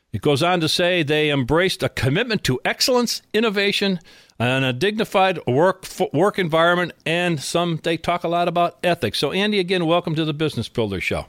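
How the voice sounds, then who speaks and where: Male interviewer, lively swooping intonation, informal conversational delivery, dry studio-close sound. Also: on the high side, no echo. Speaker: interview host. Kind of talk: conversational business show interview.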